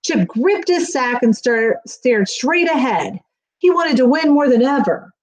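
Woman, middle-aged, fairly high pitch, expressive, picture book narration. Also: a little slow, low-quality recording. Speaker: school staff reading aloud. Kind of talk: children's picture book read-aloud. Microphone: webcam on a video call, near.

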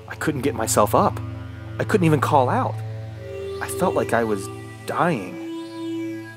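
An actor playing an injured, desperate man trapped in the woods, narrating a horror radio drama in a halting, dramatic way. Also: on the low side, close to an everyday voice. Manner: dramatic, halting, with anguished outbursts. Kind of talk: first-person horror radio drama monologue. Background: music.

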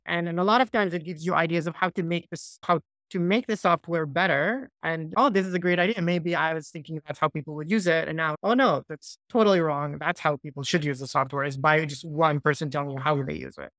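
A man in his forties from Iraq, a software founder, answering interview questions on a laptop video call in a small room, thoughtful and loose with restarts. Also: on the high side, fast, no echo, clean studio sound. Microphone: laptop mic on a video call.

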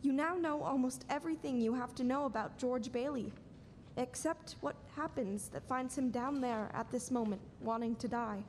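A woman's voice: high voice, normal speed, darkish tone, a little hiss or hum, close to an everyday voice. A woman playing a heavenly angel who tells the story in a live radio play. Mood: explanatory. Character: heavenly angel elder narrating to Clarence. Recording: echoey hall with long reverb.

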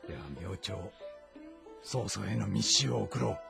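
A man playing a composed, calculating ruler with a gravelly voice in a historical drama. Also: lowish voice, unhurried. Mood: deliberate. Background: background music.

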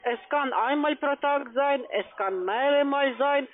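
A woman with a foreign accent, not a native speaker, giving a pushy phone sales pitch as a call-center agent, often tagging on a questioning word. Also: measured pace. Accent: foreign accent in German. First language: not German. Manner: pushy, repeatedly tagging phrases with "ja". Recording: tinny narrowband phone line.

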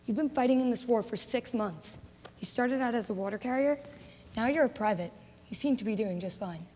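A woman plays a headstrong young woman who wants to fight alongside the men. Her voice sits high and insistent, with a defiant push. A long hall reverb hangs on every line. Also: a little fast, warm tone, slight background noise, natural voice. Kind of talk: amateur drama acting. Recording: echoey hall.

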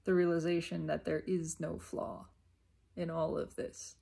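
A woman giving an unscripted, reflective spiritual monologue in short, halting, repeated phrases, with a husky, creaky voice. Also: lowish voice, flat delivery, mellow. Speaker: self-reflective spiritual vlogger.

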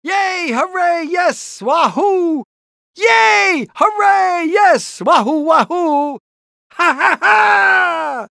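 A man's voice: very high-pitched, gravelly, pushed and bright, dry recording, clean studio sound, full character voice. A man voicing an anxious, encouraging, portly middle-aged rooster father in a cartoon video game, with a hesitant, rambling, fatherly manner. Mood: excited cheering. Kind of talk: animated video game character lines.

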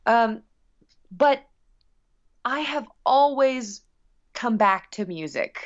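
A woman's voice: on the high side, lively intonation, slow pace, highs cut off. A woman musician being interviewed casually, talking in a long-winded, rambling, earnest way. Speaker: guest musician.

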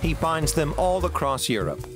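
A man giving scripted documentary narration in a measured, even voice, recorded as a studio voice-over. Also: a little high. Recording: studio voice-over with music behind.

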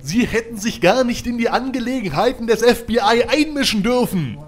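A man's voice: very high-pitched, animated, normal speed. A man playing an irate, blustering FBI agent in an audio drama, with a scolding manner. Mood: furious.

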